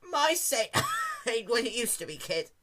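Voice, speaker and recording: Forgetful old pony geezer, male reader, high strained pitch, folksy drawl, coughing fits. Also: huge pitch swings, a little slow, noisy background, heavily acted. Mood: confused. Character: confused, forgetful elderly male pegasus with constant cough.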